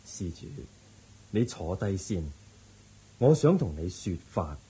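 Dark-voiced, slow, serene Buddha. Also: male voice, deep voice, husky, heavily acted, low-quality recording. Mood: calm, solemn. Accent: Hong Kong Cantonese. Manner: measured, calm, didactic. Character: serene, solemn male Buddha preaching wisdom to householders. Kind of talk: solemn radio drama preaching.

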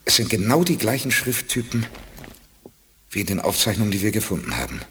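A man's voice: on the low side, very animated, brisk, big-room sound, noisy background. An actor playing a decisive, commanding male space expedition leader in a 1980s radio play. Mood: thoughtful. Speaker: leader Perry Rhodan.

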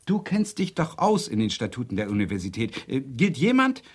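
A man's voice: medium pitch, huge pitch swings, brisk. An actor playing a wry, slightly flustered Swedish university lecturer in a naturalistic radio drama, hesitant and ironic in his talk.

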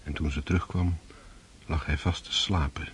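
A man's voice: very deep voice, steady tone, moderate pace, a little hiss or hum. A man narrating a literary radio drama from a script.

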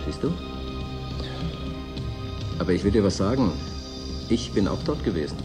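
An actor playing a moody, suspicious station worker in a radio drama, brooding, probing and intense. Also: male voice, low voice, expressive, normal speed, music in the background. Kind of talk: German radio drama acting.

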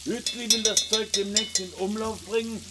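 An actor playing a knowing, dryly ironic researcher friend in a radio drama, explaining things sardonically. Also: male voice, sits high, steady tone, moderate pace, lightly acted. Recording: clear sizzling kitchen noise.

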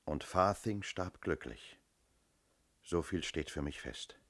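An actor playing a shrewd, self-assured police inspector in a radio crime drama, speaking firmly and methodically. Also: male voice, low-pitched, slow, a bit bright. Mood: certain, explanatory. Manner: methodical, firm, explanatory.

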